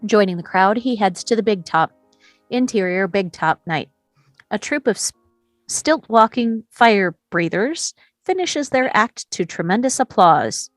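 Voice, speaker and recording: A woman with a mid-pitched voice narrates screenplay action as scripted stage directions. The recording is dry and close, with faint music behind her. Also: animated, measured pace, no echo.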